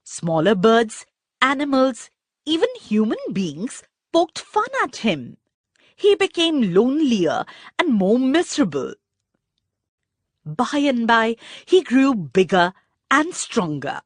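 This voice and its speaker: A woman reads a children's story in a high-pitched voice that swoops widely. She takes her time, telling it expressively and deliberately. The recording is dry and close. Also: very animated, no echo. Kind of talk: scripted narration of a children's story.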